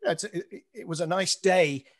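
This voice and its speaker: A man's voice: high voice, brisk. A man hosting a podcast interview over a video call, responding with appreciative enthusiasm. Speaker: podcast host. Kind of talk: conversational interview. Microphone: webcam on a video call.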